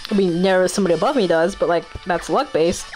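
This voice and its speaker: A woman's voice: fairly low pitch, fast talker, a little mellow, soft background music, low-quality recording. A woman streamer giving casual, slangy gameplay commentary with plenty of swearing.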